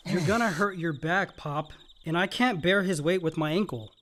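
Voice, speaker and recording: An actor playing a dutiful but resentful son, matter-of-fact and curt, in a naturalistic radio play. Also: male voice, high-pitched, moderate pace, fairly bright tone, a little hiss or hum. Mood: irritated.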